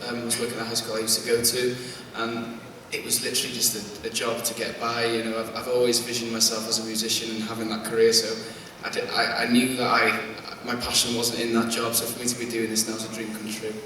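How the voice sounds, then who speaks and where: A young man with a Welsh accent, a singer answering press conference questions in a quick, upbeat and earnest way. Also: medium pitch, monotone, sharp tone, slight background noise. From Wales. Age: young adult. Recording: echoey hall, far from the mic.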